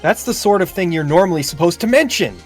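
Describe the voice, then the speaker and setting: Defense attorney, voiced by a man: confident, pointed, lively highish delivery, game dub over faint music. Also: quick pace. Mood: pressing, confident. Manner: theatrical, pointed questioning. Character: confident, needling young male defense lawyer. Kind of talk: improvised video game dubbing.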